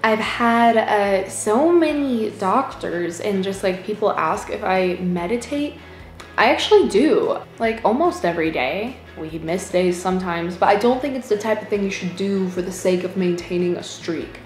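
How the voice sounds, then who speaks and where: A woman's voice: medium pitch, lively intonation, normal speed, roomy sound, soft background music. A woman, talking candidly and wryly in a casual personal update vlog. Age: 20s. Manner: candid, wry, self-deprecating. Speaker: vlogger.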